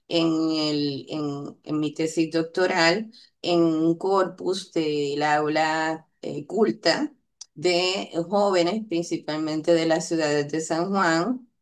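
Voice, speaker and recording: A Puerto Rican woman, a linguistics researcher, explaining her findings unscripted, with many hesitant fillers and questioning tags seeking agreement. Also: lowish voice. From Puerto Rico. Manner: hesitant, frequent "eh" fillers and "¿verdad?" tag questions.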